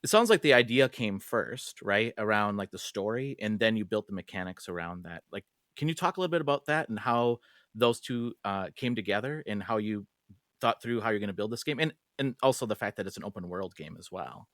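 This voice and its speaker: A man's voice: fairly low pitch, expressive, fairly quick, a bit bright, dry recording, studio quality. A man hosting a podcast, asking questions in a casual, conversational way. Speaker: podcast host, interviewer.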